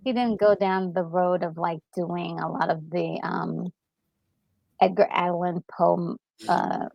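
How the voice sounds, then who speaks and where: A woman co-hosting a casual gaming chat, talking in an excitable, emphatic way and often repeating herself. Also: lowish voice, takes it slowly, creaky, no room sound, highs cut off. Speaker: gaming channel co-host. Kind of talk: casual reaction chat about a game story. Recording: music playing behind the voice. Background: background music.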